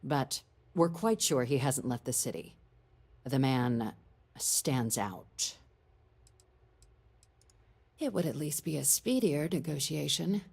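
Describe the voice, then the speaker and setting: A woman playing a composed government official in a video game, speaking in a measured, formal and wry way. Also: a bass voice, animated, creaky, darkish tone, breathy edge, heavily acted. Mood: businesslike, firm. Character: composed female government official briefing an operative. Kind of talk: scripted video game dialogue.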